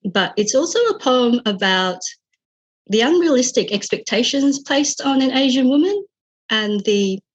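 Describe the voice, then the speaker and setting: A woman's voice: on the high side, animated, measured pace, dull audio. An Australian-accented woman talks over a video call in an easy, conversational way. She speaks fluently and in a friendly tone about her poetry. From Vietnam, raised in Australia. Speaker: poet and critic. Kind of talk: casual video call chat.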